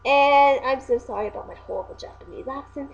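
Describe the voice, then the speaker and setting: A young woman co-hosting a casual video, chatting excitably and often correcting herself. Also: high voice, brisk, vocal fry, a little hiss or hum. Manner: animated, self-correcting, excitable. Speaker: video co-host reviewing anime voice acting. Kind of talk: casual chat. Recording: dull lo-fi home recording.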